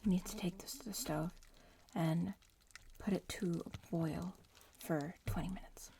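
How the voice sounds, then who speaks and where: A woman playing a bratty young witch in training in a soft-spoken ASMR roleplay, casually bossy and teasing but reassuring. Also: on the low side, fairly even intonation, a little slow, a little hiss or hum. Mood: whispered, reassuring. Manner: casual, teasing, matter-of-fact instructions. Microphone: close-up ASMR microphone.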